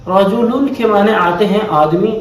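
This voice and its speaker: A man's voice: high voice, normal speed, slight background noise. A man teaching a beginner Arabic grammar lesson, patiently repeating each definition. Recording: echoey room heard from a distance.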